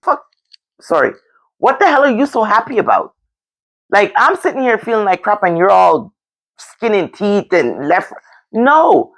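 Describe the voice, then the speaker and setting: A woman's voice: medium pitch, big pitch swings, a little slow, long pauses, poor audio. A woman with a Jamaican accent in a candid, casual discussion, blunt and emphatic, repeating phrases for stress. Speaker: discussion show host.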